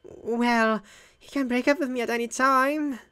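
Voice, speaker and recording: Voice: mid-range voice, fairly even intonation, a little slow, pushed and bright, smooth, pitched above the performer's own voice. A gamer voicing an anxious, melodramatic young woman student in a visual novel game. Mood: anxious, lovesick. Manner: insecure, melodramatic.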